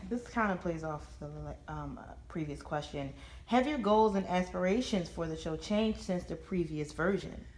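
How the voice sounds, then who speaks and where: Female interviewer, slightly low voice, asking questions, light background noise. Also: normal speed, few pauses, darkish tone.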